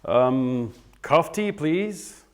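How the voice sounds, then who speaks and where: A man's voice: on the high side, lively intonation, very slow. A man acting a pompous, fussy wannabe rock star in a sitcom table read. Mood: evasive. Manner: self-important, musing to himself.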